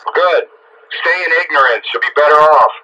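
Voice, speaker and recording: A man's voice: fairly high pitch, moderate pace, sharp tone. A man trading wry, teasing banter over two-way radio. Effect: two-way radio transmission. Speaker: amateur CB radio operator. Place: heard through a CB radio receiver. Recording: muffled, faintly noisy CB radio signal.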